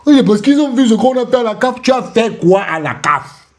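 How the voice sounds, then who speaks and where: A man's voice: extremely high pitch, lively intonation, normal speed. A man giving sarcastic, taunting commentary on an interview, repeating phrases and laughing with scorn. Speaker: commentator reacting to an interview clip. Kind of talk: mocking reaction commentary.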